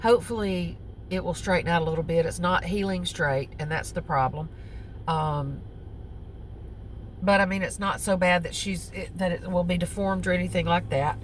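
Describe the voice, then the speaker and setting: A woman's voice: on the low side, steady tone, moderate pace, a little hiss or hum. A woman with a Southern accent, chatting casually to camera as a crochet vlogger, rambling with many ums and self-corrections. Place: outdoors on a lunch break.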